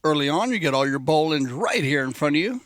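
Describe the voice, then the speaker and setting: A man's voice: on the high side, lively intonation, fast, a bit bright, no room sound, studio quality. A man giving upbeat, conversational color commentary on a polo match.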